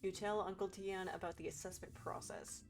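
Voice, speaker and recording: A woman's voice: medium pitch, steady tone, normal speed, over music. A woman doing casual game playthrough commentary as a streamer, with brief offhand reactions.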